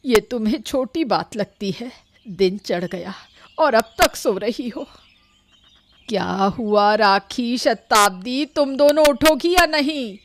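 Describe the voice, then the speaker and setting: A woman playing a careworn old grandmother in an animated story, scolding and lamenting but loving. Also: on the high side, takes it slowly. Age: older. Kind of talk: Hindi animated story dialogue.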